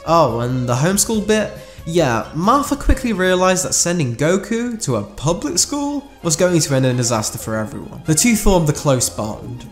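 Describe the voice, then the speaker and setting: Male narrator, medium pitch, scripted storytelling with wry casual asides, music bed underneath. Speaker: narrator of a fan what-if video. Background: background music.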